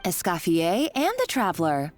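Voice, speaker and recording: A woman's voice: lowish voice, big pitch swings, moderate pace. An actress playing a gloating, scheming female villain in a video game, theatrical and sardonic, taunting as she talks. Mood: menacing. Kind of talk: dramatic video game voice acting. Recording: game dialogue over background music.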